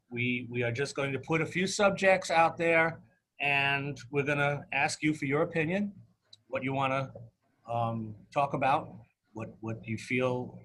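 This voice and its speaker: A middle-aged man hosting a semi-formal campaign discussion, speaking in halting, repetitive phrases. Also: fairly high pitch, a bit bright. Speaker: talk show host and union candidate.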